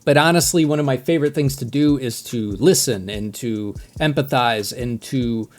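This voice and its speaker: A man's voice: mid-range voice, moderate pace. A man who is a native English speaker, giving casual, reflective commentary as the host of a music reaction video.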